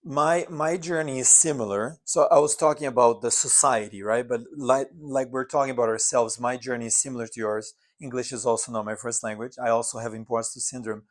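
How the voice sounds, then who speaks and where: A man's voice: mid-range voice, fairly even intonation, a little fast, few pauses. A man co-hosting a casual podcast in an apartment, talking earnestly and reflectively, often restarting his phrases. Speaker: podcast co-host. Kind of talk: casual chat. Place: apartment living room.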